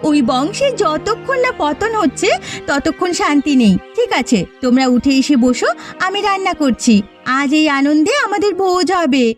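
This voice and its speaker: Actress as cartoon village housewife, high voice, melodramatic emphasis, music underneath. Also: female voice, expressive, moderate pace. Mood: scheming glee. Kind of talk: dramatic Bengali cartoon voice acting.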